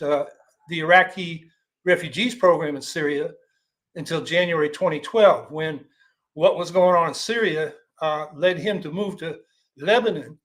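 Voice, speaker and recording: A man's voice: fairly high pitch, a bit bright. A man hosting a talk show, reading a prepared guest introduction with occasional fillers. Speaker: host.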